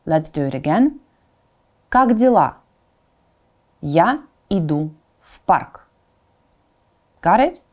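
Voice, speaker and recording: Low, mellow, slow-paced woman language teacher. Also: very animated. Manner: instructional, repeats example words slowly and distinctly; informal asides, checks in with "right?". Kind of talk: pronunciation lesson addressed to learners.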